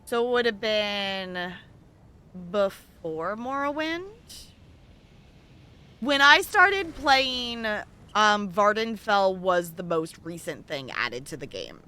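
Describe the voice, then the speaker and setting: A woman's voice: mid-range voice, sing-song, takes it slowly, sharp tone, clear, resonant tone. A woman hosting a game livestream, chatting casually and thinking aloud in a rambling way as she plays. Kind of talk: casual chat while playing an online game.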